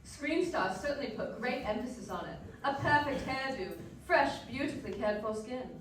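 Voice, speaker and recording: A woman performs as a fashion-loving radio columnist of the 1940s. The voice sits a little high, with chatty, scripted salesmanship. It sounds far from the mic in a very reverberant hall with faint background noise. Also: expressive, moderate pace. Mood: chatty, enthusiastic. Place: live stage performance in a dance hall.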